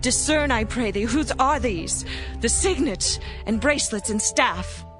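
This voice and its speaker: Brief: man voicing a high, slow young widow for a dramatized scripture audio. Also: expressive, music in the background. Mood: pleading. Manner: slow, deliberate, high put-on voice. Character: young widow woman, bold, bargaining.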